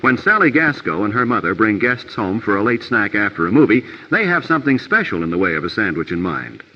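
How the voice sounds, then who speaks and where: A man's voice: lowish voice, big pitch swings, a little fast. A man giving scripted narration for an educational film from the 1950s. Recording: old film soundtrack, muffled, reverberant.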